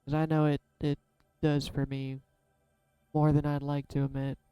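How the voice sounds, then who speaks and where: Man, tarot reader, soft-spoken, smooth dark tone, candid vlog delivery, faint music underneath. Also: fairly high pitch, monotone, normal speed, dry recording. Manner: candid, self-deprecating, musing aloud. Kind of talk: casual reflective vlog.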